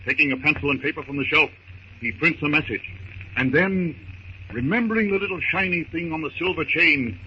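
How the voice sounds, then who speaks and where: A man giving dramatic scripted narration for a 1930s radio serial, theatrical and deliberate. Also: a little high, sharp tone. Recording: noisy, muffled 1930s radio recording.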